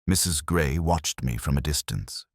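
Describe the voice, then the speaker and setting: A man playing a reflective, observant police inspector who narrates a detective audio drama in a measured, literary, brooding manner. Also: very deep voice, animated, no room sound, clean studio sound, exaggerated voice. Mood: pensive, measured.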